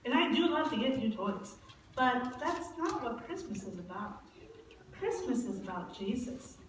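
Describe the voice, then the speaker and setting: A woman's voice: fairly high pitch, very animated, a little slow. A woman playing an innocent, earnest little girl in a church puppet show. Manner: earnest, explanatory storytelling. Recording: very echoey hall, far mic, noisy.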